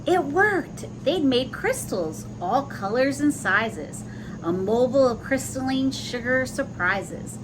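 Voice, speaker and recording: A woman with a somewhat high voice reads a picture book to young children. She moves between the story text and plain little explanations of the pictures. The recording has noticeable noise behind her. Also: expressive, takes it slowly. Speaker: host reading children's picture books aloud. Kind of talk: children's read-aloud with simple asides.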